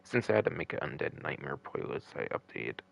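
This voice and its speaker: A man's voice: extremely low pitch, big pitch swings, brisk, gravelly, dull audio. A man hosting a gaming live stream with casual, rambling, repetitive commentary and the odd swear word. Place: a room at home.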